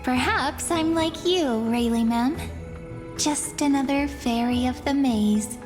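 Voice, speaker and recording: An actress playing a gentle, teasing young woman in a video game, speaking softly and serenely. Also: high-pitched, takes it slowly. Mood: playful. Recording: background music under the voice.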